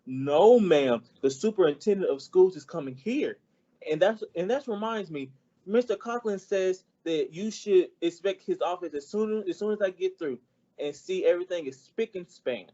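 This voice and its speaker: A man's voice: sits high, animated, moderate pace, dark tone, dull audio. A man playing a naive, good-natured teenage schoolboy, earnest and polite, in an old-time radio comedy. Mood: cheerful, earnest.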